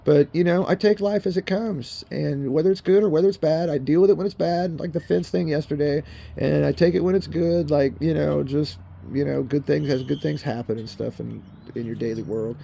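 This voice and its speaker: A man's voice: on the high side, a little fast, vocal fry, dark tone, a little hiss or hum. A man in his fifties rambling in a casual monologue, swearing often and calling the viewers bro and people. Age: 50s. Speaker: vlogger. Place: in a car.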